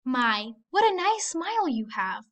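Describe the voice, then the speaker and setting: A woman playing a wise male owl school counselor in a storybook, encouraging and measured. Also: high voice, big pitch swings, fairly bright tone, far from the mic, full character voice.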